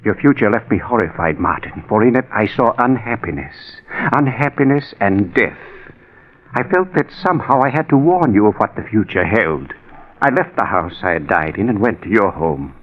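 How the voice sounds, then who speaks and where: A man's voice: lowish voice, expressive, normal speed. An actor playing an earnest dead man's spirit, narrating solemnly and theatrically in 1940s radio style. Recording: thin, phone-like old radio recording.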